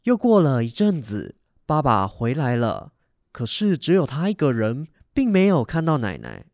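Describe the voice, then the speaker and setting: A man's voice: medium pitch, wildly swooping pitch, normal speed, a little mellow, no echo. A young man with a Taiwanese accent reading scripted narration for a radio drama. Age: young adult.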